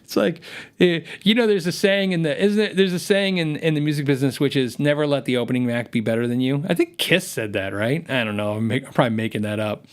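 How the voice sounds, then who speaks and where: A man's voice: medium pitch, fast talker, clear, resonant tone. A man hosting a gear livestream, chatting casually with viewers, rambling with many restarts and reading out viewer comments. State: voice worn from long shows.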